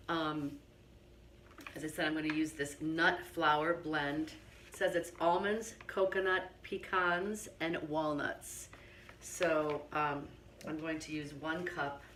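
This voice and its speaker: Hoarse middle-aged woman, low-pitched, speaking softly in her kitchen with a faint hiss behind. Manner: quiet, partly whispered speech. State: hoarse from laryngitis. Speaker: home cooking host. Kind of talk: casual cooking demonstration. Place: home kitchen.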